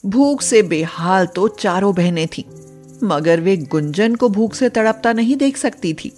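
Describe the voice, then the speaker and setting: Female storyteller, mid-pitched, unhurried scripted bedtime narration over soft music. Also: animated.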